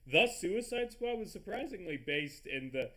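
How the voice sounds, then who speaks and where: A man with a stuffy nose rambling excitably in casual commentary over a game recording, swearing often and tripping over his words. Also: high-pitched, normal speed. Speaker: gaming video host.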